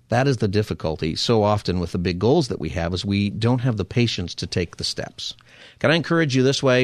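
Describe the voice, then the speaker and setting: A man with a lowish voice hosts a faith-based radio talk show, urging listeners on with earnest, preacher-like encouragement. The sound is dry and close, straight into a studio mic. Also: darkish tone, no room sound. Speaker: radio host. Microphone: studio microphone.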